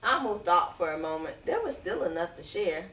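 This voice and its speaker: Woman reading a storybook, very animated, echoey room. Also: fairly low pitch. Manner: expressive, dramatic pauses and drawn-out words. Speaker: picture book reader. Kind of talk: animated children's read-aloud storytelling. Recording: roomy echo, distant from microphone.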